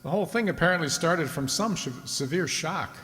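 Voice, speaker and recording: Man acting a measured, higher-pitched radio doctor. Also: expressive, bright, forceful tone. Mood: grave, measured. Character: measured, frank male physician giving a diagnosis. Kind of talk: old-time radio drama acting. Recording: echoey hall, long reverb.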